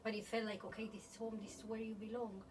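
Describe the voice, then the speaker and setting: A woman's voice: on the high side, flat delivery, fast. Distant-miked woman. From born in Colombia, grew up in Spain. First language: Spanish. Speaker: podcast host. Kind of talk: reflective interview conversation. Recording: distant mic, faint background noise.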